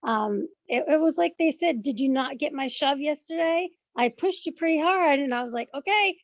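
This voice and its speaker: A woman guest chatting casually, telling her own experiences in an animated way and acting out what she said to herself. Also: high voice, moderate pace, dull audio. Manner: animated storyteller, acts out her own inner quotes, laughs often. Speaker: guest client. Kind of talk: casual conversation.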